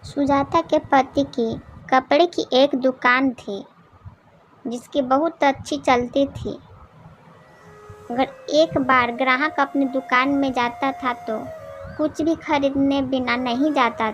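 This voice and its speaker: High-pitched, slow female storyteller. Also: steady tone, lo-fi sound, slight background noise. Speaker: narrator. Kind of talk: scripted storytelling narration for cartoon moral tale.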